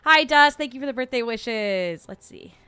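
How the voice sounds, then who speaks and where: A woman's voice: sits high, expressive, normal speed, bright, forceful tone, clean tone, no room sound. A woman hosting a livestream, chatting casually and informally with her viewers. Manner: informal, conversational. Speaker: livestream host.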